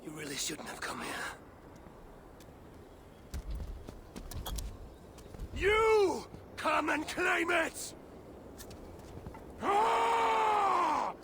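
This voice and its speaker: A man's voice: extremely high pitch, huge pitch swings, shouting, heavy background noise, exaggerated voice. An actor playing a proud, commanding Norse god-king in an epic video game, solemn and imperious in delivery. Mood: defiant battle rage. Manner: solemn, imperious, deliberate diction.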